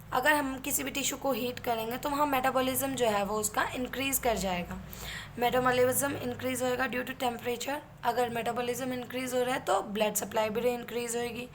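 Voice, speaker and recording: A woman's voice: fairly high pitch, fairly bright tone, slight background noise. A woman with an Indian accent giving an explanatory lecture as an instructor, going step by step and answering her own questions. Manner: step-by-step teaching, posing questions and answering them. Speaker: physiotherapy instructor. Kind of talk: explanatory lecture mixing Hindi and English.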